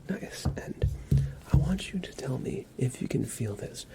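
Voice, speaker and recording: A man speaks mostly in a soft, breathy whisper with noticeable fry. He plays a doctor in an ASMR exam roleplay, giving gentle, reassuring instructions in a soothing way. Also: mid-range voice, animated, unhurried. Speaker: ASMR performer playing a doctor.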